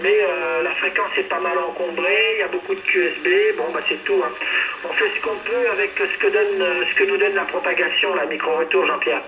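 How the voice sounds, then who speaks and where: High-voiced man chatting over echoey radio. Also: expressive, brisk. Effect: two-way radio transmission. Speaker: amateur radio operator. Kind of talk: casual radio contact chat. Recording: roomy, distant, dull noisy radio transmission.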